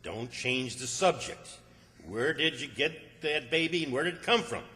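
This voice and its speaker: A man's voice: mid-range voice, animated, moderate pace, pushed and bright. A man acting a bossy, exasperated country fellow, scolding and commanding in an old-time radio comedy. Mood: suspicious. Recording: hall reverb, distant stage sound.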